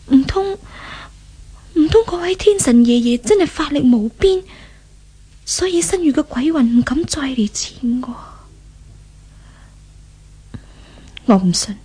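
A high-voiced, husky, tormented young woman. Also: mellow, full character voice. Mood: weary, puzzled. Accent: Hong Kong Cantonese. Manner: dramatic, breathy monologue with sighs. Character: once-pampered fallen young woman, tormented, weary, remorseful. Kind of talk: 1970s Hong Kong radio drama acting. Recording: 1970s radio drama recording.

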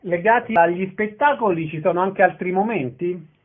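A man's voice: high-pitched, moderate pace. A man hosting a radio interview, putting questions to a guest and giving out event details. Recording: thin narrowband radio sound.

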